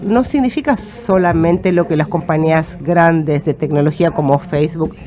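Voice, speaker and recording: Low, mellow-voiced woman interpreting. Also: moderate pace.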